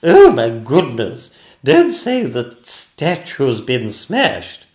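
Guy doing a snooty cross fairytale queen, pitched up, slow n very emphatic. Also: wildly swooping pitch, darkish tone, exaggerated voice. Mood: alarmed. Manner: slow, emphatic, haughty pronouncements. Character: imperious, cross, haughty Queen in a comic fairy tale.

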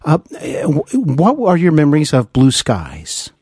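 A man hosting a conversational interview, effusive and halting, often repeating his words. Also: on the high side, huge pitch swings, darkish tone, husky, no echo, clean studio sound. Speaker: interview host.

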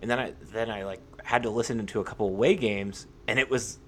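Low-voiced young man hosting a casual interview. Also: fairly even intonation, fast, a little hiss or hum. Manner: informal, hesitant phrasing with repeats. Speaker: podcast host, interviewer.

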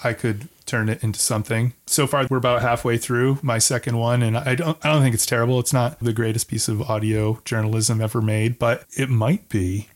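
A man's voice: medium pitch, fairly even intonation, brisk, hardly any pauses. A middle-aged man hosting a casual podcast conversation in a joking, self-deprecating manner. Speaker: podcast host.

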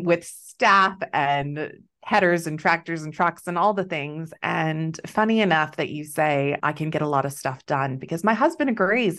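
A woman's voice: on the low side, fairly bright tone. A woman in her thirties from Texas with a Texan accent, chatting as a podcast guest, upbeat and laughing often. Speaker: business coach, guest. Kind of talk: casual interview chat.

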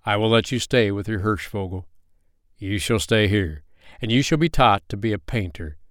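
A man voicing a kind, gentle young king, speaking in a measured, reassuring way in a children's bedtime story. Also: fairly low pitch, dry recording, studio quality, natural voice. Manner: kindly, measured.

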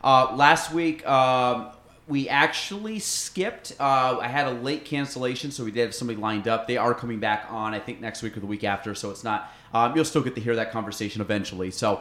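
A man's voice: medium pitch, fairly quick, a bit bright. A young man hosting a podcast, chatting casually and rambling with lots of fillers. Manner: rambling, filler-heavy, jokey. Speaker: podcast host. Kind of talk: casual interview chat. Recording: echoey room.

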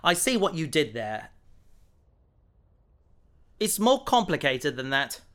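A man's voice: fairly high pitch, sing-song, takes it slowly, fairly bright tone, heavily acted. A man playing an earnest, reserved and loyal police officer in an audio drama. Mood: guarded.

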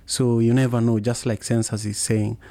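Man, co-host and organizer: relaxed mellow tone, level pitch, halting informal explanations. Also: dry recording. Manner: halting, pads phrases with "you know". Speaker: group trip organizer and co-host.

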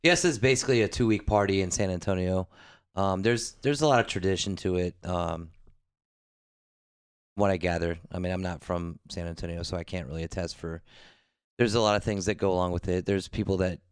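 A man with a very low, smooth and resonant voice talks casually on a podcast. He rambles and restarts his sentences in a laid-back way. The sound is dry and close, with no room echo. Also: a little fast. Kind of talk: casual chat.

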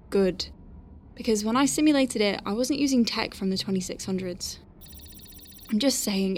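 A woman's voice: medium pitch, a little mellow, slight background noise, close to an everyday voice. A woman playing a dry-witted, capable doctor on a space station, dictating clinical notes with wry conversational asides in an audio drama. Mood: focused, wry. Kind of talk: science-fiction audio drama, spoken log.

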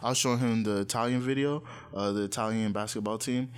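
A young man, born in Nigeria, in a loose, animated casual chat with friends, full of slang and fillers. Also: lowish voice, quick pace, clean tone.

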